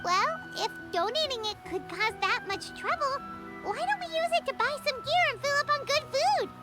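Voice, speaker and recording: An actress voicing a tiny, excitable floating girl companion who talks about herself by name, in a video game. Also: normal speed. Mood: eager. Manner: squeaky, bouncy, refers to herself by name. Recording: game audio with loud music.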